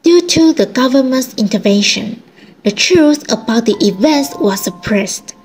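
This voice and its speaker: A woman's voice: high-pitched, animated, measured pace, a little mellow, soft background music. A woman delivering scripted narration for a radio drama.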